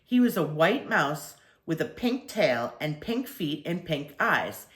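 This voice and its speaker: An older woman with a low voice reads a children's story aloud. Her intonation is very animated, with deliberate phrasing and stretched emphasis on key words. The recording sounds roomy and echoey. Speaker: grandmother reading aloud. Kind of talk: expressive children's story reading.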